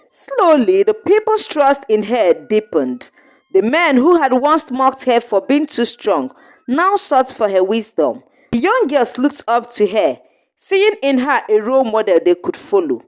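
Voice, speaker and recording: A woman with a fairly high voice reads a folktale as a narrator. Her pitch rises and falls a great deal from phrase to phrase. The delivery is earnest and measured, like a storybook read aloud. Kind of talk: scripted narration of an African folktale.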